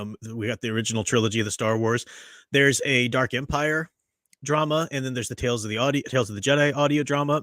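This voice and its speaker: A worn-out man chats loosely on a livestream, drifting from one thought to the next and filling the gaps with plenty of ums and uhs. His voice sits in an ordinary middle range. The sound is close and dry, with no room echo. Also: medium pitch. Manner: rambling, chatty, with many fillers and restarts. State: tired after a long day. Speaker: livestream host and reviewer. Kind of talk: casual livestream chat. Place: at home.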